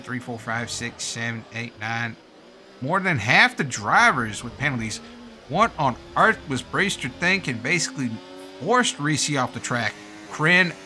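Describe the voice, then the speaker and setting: A man's voice: medium pitch, pushed and bright. A man doing live sim-racing commentary in a put-on American accent, informal and slangy, with casual asides. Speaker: race commentator and streamer.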